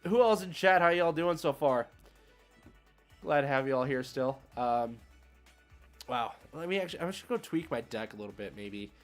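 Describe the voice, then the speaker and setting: A man's voice: mid-range voice, expressive, normal speed, pauses often, soft background music. A man hosting a livestream and giving casual, slangy commentary on a card game as he thinks aloud.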